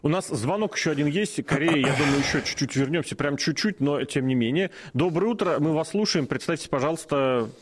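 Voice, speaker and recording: Male co-host, casual discussion, a bit higher-pitched, searches for words mid-sentence. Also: fairly quick, few pauses, noisy background. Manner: thinks aloud with fillers and restarts. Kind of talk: casual talk show discussion.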